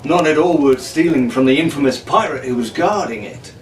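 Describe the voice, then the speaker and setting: Higher-voiced male storyteller, roomy sound. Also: a little fast. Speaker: audiobook narrator. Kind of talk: dramatic scripted story narration. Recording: roomy, distant mic, faint noise.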